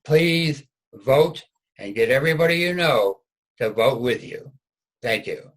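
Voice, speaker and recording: A man's voice: medium pitch, lively intonation, unhurried. A man, a narrator, making an informal closing appeal to an audience. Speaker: writer, director and narrator.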